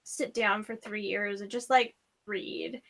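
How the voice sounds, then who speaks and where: A woman's voice: mid-range voice, steady tone, slow, a bit bright. A woman in a casual book discussion, talking in a skeptical, colloquial and opinionated way.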